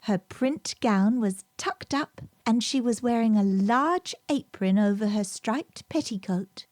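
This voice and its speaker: A woman narrating a scripted children's story as a storybook reader, with gentle music behind her. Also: on the high side, slow, no echo, clean studio sound. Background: gentle background music.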